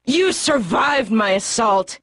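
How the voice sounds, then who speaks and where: A savage, bloodthirsty army warrior in a video game. The voice is husky and dry-recorded, taunting its foes and giving commands with fierce authority. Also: female voice, on the high side, animated, takes it slowly, fairly bright tone, no room sound, exaggerated voice. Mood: calculating. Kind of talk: video game cutscene acting.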